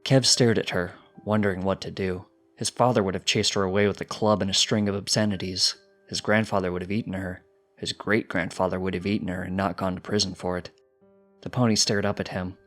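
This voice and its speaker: Male storyteller, lowish voice, scripted fiction narration over soft music. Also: level tone, brisk.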